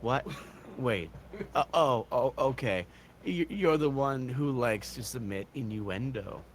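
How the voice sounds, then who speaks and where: A man voicing a self-serious, pathetic, dopey troll in a comic chat-log reading, with pompous, wordy, halting speech. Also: mid-range voice, animated, a little hiss or hum, voice pushed down. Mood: flustered.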